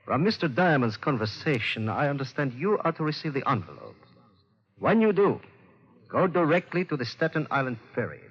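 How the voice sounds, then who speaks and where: Husky, suave South villain. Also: male voice, mid-range voice, very animated. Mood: coldly threatening. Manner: formal, courteous, deliberate. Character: suave, menacing South American politician villain. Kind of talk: 1940s-50s radio drama acting. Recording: dull old radio recording, long reverb.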